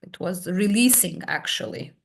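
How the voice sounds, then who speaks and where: Woman sharing her story haltingly. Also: lowish voice, creaky. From Serbia. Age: 30s. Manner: halting, searching for words, many restarts. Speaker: hypnotherapy client guest. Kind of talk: personal testimony in conversation.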